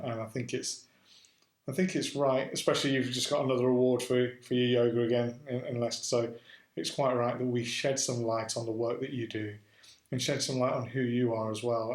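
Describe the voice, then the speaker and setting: A man hosts a podcast interview, speaking in an even, level tone with little rise and fall. He rambles earnestly and often restarts his sentences. His voice rings in a roomy, echoey space. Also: medium pitch. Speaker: podcast host. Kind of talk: casual interview conversation. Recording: roomy echo.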